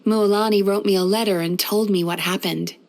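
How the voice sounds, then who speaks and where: A woman voicing a gentle, wistful, centuries-old yokai healer in a video game, speaking softly in a measured, polite, reflective way. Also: mid-range voice, fairly even intonation, lightly acted. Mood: calm, friendly. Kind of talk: scripted video game character voice-over.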